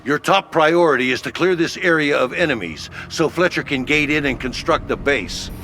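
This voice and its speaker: An actor playing a no-nonsense military headquarters officer, giving brisk, colloquial mission briefings in a video game. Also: male voice, a little high, animated, pushed and bright, a little hiss or hum. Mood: firm, urgent.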